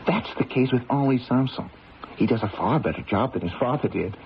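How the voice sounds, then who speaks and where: An actor playing an earnest, deferential young gendarme, respectful and hesitant, in an old-time radio drama. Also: male voice, medium pitch, lively intonation, brisk, close to an everyday voice. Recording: dull lo-fi radio, faint noise.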